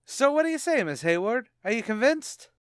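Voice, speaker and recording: A man playing a boastful, stammering tavern manager in a 1940s-style radio comedy, bluffing with rough grammar. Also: very high-pitched, big pitch swings, normal speed, no room sound, studio quality. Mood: hopeful.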